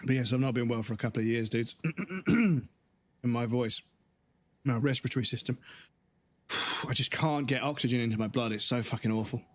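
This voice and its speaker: A man in his thirties chatting casually to his live-stream chat while gaming. He is rambling and profane, and he is hoarse, with his voice giving out and frequent throat clearing. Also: mid-range voice, fairly even intonation, brisk, pauses often. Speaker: gaming livestreamer.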